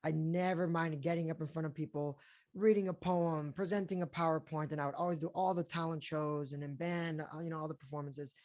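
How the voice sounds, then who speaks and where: Relaxed, chatty young man. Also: on the high side, brisk. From Colombia, raised in Florida and Houston. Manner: relaxed and chatty, with many fillers and easy laughs. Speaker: actor guest. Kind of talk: casual interview talk.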